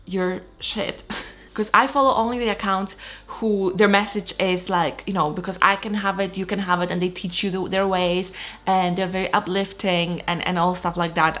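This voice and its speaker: Gravelly-voiced woman in her thirties. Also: lowish voice, steady tone, normal speed, fairly bright tone. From Poland. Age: 30s. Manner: frank, blunt, swears casually. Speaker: lifestyle vlogger. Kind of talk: casual motivational talk to camera.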